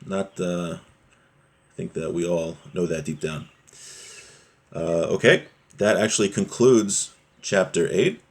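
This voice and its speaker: A man with a low, husky voice teaches a religious class. He explains a sacred text phrase by phrase in an earnest, didactic manner, often punctuating his points with short rhetorical questions. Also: takes it slowly, pauses often. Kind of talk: religious lesson, explaining a Hebrew text line by line in English.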